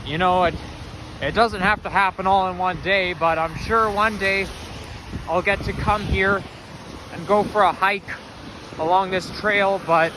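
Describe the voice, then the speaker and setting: A man with a loud voice narrates his walk along a snowy city street, chatty and thinking aloud as he goes. His voice sits a little higher than most men's. Passing traffic and wind come clearly through the camera mic. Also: steady tone, unhurried. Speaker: vlogger. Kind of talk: casual walking vlog narration.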